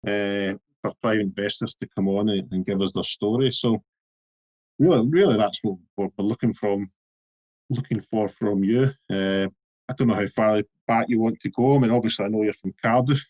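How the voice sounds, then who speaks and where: A Scottish man co-hosts a podcast in an easy, rambling style and often stumbles over repeated words. His voice is lowish, and the dull, lo-fi sound of a remote call flattens it. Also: moderate pace. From Scotland. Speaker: podcast co-host. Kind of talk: casual interview chat.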